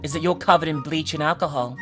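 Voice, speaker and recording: A woman's voice: low voice, quick pace, a bit bright, soft background music. A woman voicing a menacing, smug boy in a horror story, darkly amused and ominous. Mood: ominous gloating.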